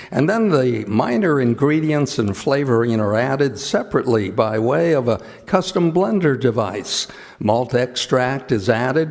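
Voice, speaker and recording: An American man reading scripted announcements and sponsor messages in the style of an old-time radio announcer, live in a theater. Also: mid-range voice. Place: theater stage in a college. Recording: live theater hall, long reverb, music.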